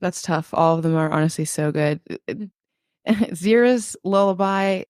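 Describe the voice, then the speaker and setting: A woman with a low voice, a podcast guest talking casually and reflectively, thinking aloud with frequent filler words. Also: a little slow, darkish tone, clean tone, no room sound, clean studio sound.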